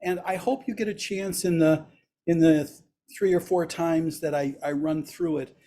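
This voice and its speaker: A man with a raspy, hoarse voice leading a Buddhist service online, slowly intoning chants and gentle instructions. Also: on the high side, fairly even intonation. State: hoarse after surgery. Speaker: Buddhist teacher leading practice. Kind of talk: leading a chanted metta practice.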